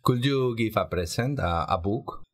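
A man's voice: lowish voice, animated, moderate pace. A man, a native Spanish speaker with a Spanish accent, asking questions in a casual interview.

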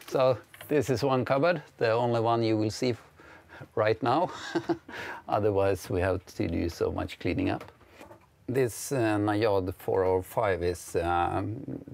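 A Swedish man in his fifties giving a casual tour of his sailboat, speaking English with a Swedish accent and pausing often with "uh". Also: deep voice, vocal fry. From Sweden. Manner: hesitant, many "uh" fillers, friendly. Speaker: sailing vlogger giving a boat tour. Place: wooden cabin of a sailboat, indoors. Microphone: clip-on lapel mic.

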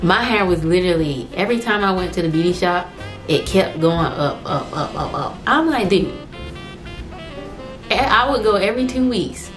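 A young woman chatting to the camera in her living room about her hair, lively and quick to act out what people said. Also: lowish voice, soft background music. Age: young adult. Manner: chatty, animated, acts out quoted voices. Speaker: hair vlogger. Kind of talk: casual chat to camera. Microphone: camera on tripod at medium distance.

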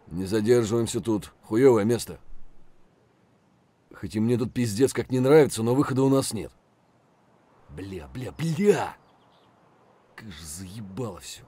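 An actor playing a gruff, wary, foul-mouthed male mercenary soldier in terse video game voice lines. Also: on the low side, expressive, measured pace, long pauses, warm tone, no echo. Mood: fed up.

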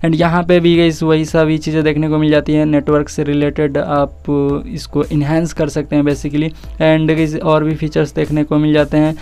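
A man's voice: a little high, completely flat delivery, normal speed, hardly any pauses, a little mellow, clean tone. A man with an Indian accent doing a casual tech walkthrough voice-over, mixing in English words and addressing viewers directly. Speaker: tech YouTuber, host.

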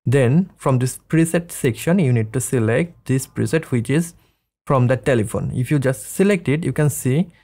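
A man in his thirties hosting a casual software tutorial, explaining things step by step. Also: medium pitch, normal speed. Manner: step-by-step instructing. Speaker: tutorial host. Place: small indoor studio room.

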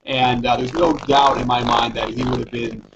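A man's voice: medium pitch, flat delivery, moderate pace. A man in his forties with an American accent hosting a casual basketball chat over a video call from a living room. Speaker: interview host, basketball coach. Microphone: video call, computer microphone. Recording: dull, noisy video-call audio.

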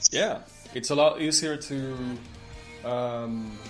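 A man hosting a music interview show, speaking effusively with halting phrasing. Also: mid-range voice. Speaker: radio talk show host. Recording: music playing behind the voice. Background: music with guitar.

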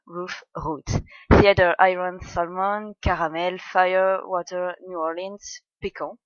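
A woman's voice: lowish voice, no echo. A young woman from France with a French accent, chatting awkwardly and a bit shyly in a casual voice meme, reading words aloud. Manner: awkward, shy, laughing at herself. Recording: dry, dull lo-fi home mic.

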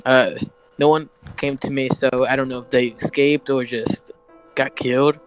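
A man's voice: medium pitch, flat delivery, moderate pace, clear, resonant tone, soft background music, lightly acted, low-quality recording. A man playing a shaken, subdued survivor in an improvised Western game roleplay, hesitant and repeating words. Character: shaken, subdued male survivor of a bandit raid.

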